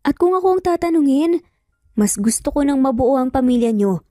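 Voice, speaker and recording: A woman storyteller acts out a caring older sister in an audio drama. She pleads gently and persuasively, with a mellow, higher voice, recorded close and dry over music. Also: lively intonation, moderate pace, no echo. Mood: concerned, pleading. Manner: earnest, persuasive.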